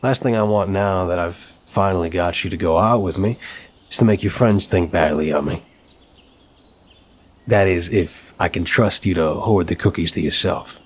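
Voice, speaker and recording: A man playing an apologetic, flirtatious mafia boss in an intimate ASMR roleplay, speaking in a courteous, teasing, slightly halting way. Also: sits low, slightly rough.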